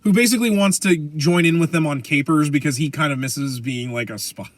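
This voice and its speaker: A man with an American accent co-hosting a podcast, chatting casually about pop culture in rambling, halting explanations. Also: on the high side, no room sound. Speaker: podcast co-host.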